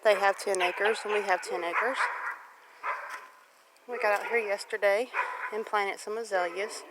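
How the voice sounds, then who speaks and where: A woman's voice: mid-range voice, steady tone, measured pace. Southern US woman vlogging in outdoor noise. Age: 40s. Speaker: homestead vlogger. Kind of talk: casual walk-and-talk narration. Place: outdoors on wooded rural property. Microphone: handheld camera or phone while walking. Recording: noisy outdoor handheld recording with clicks.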